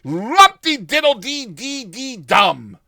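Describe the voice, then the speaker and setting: A man's voice: very high voice, big pitch swings, slow pace, vocal fry, pushed and bright, dry recording, clean studio sound, voice pushed up, exaggerated voice. A man voicing a jovial, exuberant husband and humorist, exclaiming theatrically in a dramatized story. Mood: gleeful.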